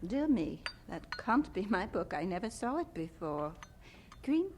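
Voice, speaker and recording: A woman's voice: mid-range voice, sing-song, unhurried, a little mellow, slight background noise. An actress playing a chatty older lady, a gushing and fussy hostess, in a radio drama.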